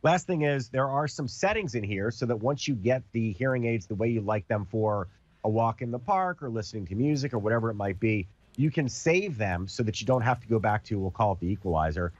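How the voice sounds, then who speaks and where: A man's voice: mid-range voice, lively intonation, a little fast, dry recording, dull audio. A man with a cold, sounding congested, hosting a casual product demo and explaining with everyday comparisons. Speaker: TV host demonstrating a product.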